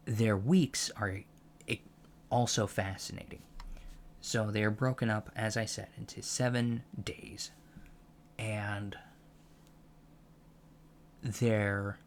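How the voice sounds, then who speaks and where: An earnest alien college professor played by a man lectures softly in an ASMR roleplay. The voice is fairly low and very unhurried, with halting, academic phrasing. A clear background noise runs underneath. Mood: gently fascinated. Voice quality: soft-spoken. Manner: halting, deliberate, academic, self-correcting.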